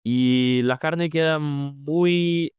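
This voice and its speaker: A man's voice: on the high side, very slow, a little mellow, clear, resonant tone, no echo. A young man from Brazil with a Brazilian Portuguese accent, a Portuguese native speaker answering interview questions in Spanish, hesitant and searching for words. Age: young adult. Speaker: podcast guest, former exchange student.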